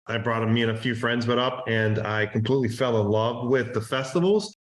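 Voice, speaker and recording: A man's voice: medium pitch, brisk. Easygoing man in a roomy space. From Hawaii. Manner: easygoing, rambling with many fillers and restarts. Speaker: festival promoter and guest. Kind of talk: casual interview storytelling. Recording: roomy echo.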